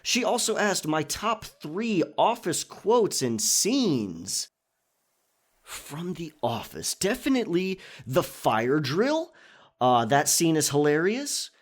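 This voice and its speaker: A man's voice: on the high side, sing-song, slow pace. A man hosting a casual question and answer session for his listeners in a conversational, chatty manner. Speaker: audio roleplay creator and channel host.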